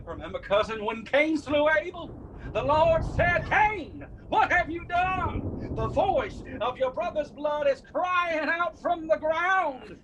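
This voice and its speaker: An actor playing an earnest mountain preacher in a radio drama, solemn and admonishing. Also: male voice, very high voice, lively intonation, takes it slowly, background noise, full character voice. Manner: solemn, exhorting.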